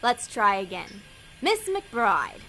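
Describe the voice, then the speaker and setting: A woman's voice: medium pitch, sing-song, slow, bright, forceful tone, a little hiss or hum, exaggerated voice. An actress playing a bossy, scheming female demon in an audio drama, blunt, slangy and impatient. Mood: coaching.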